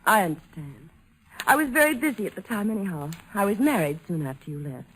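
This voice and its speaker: An actress playing a poised, coolly polite former sweetheart, genteel and guarded, in 1950s radio drama style. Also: female voice, mid-range voice, expressive, fairly quick, natural voice, rough recording.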